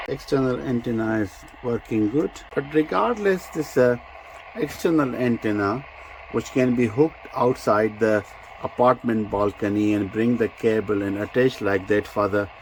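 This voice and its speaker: A man giving a casual hands-on product demonstration as a review presenter, halting and thinking aloud as he goes. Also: on the low side, noisy background. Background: shortwave radio sounds.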